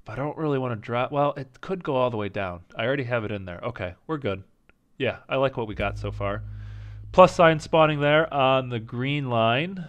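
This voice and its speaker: A man casually commenting as he plays a strategy game, thinking aloud and second-guessing his choices, with a slight rasp and an occasional cough. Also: mid-range voice, moderate pace, a bit bright, dull audio. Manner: thinking aloud, indecisive, self-talking. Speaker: gaming channel host. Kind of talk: casual let's-play commentary.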